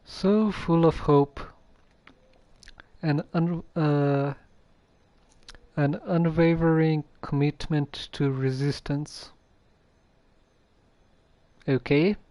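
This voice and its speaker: A man's voice: on the high side, steady tone, very slow, pauses often, dark tone, dry recording. A man streaming a game with casual, hesitant commentary, reading dialogue choices aloud.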